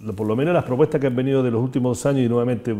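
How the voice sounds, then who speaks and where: A man with a Chilean accent hosting a talk-show conversation, talking fast with an opinionated tone and many restarts. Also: mid-range voice, mellow. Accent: Chilean Spanish. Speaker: host.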